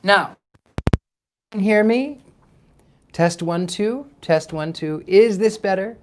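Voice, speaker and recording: A man's voice: high voice, slow. A man hosting a livestream as a storyteller, moving between dramatic live reading and casual asides to listeners.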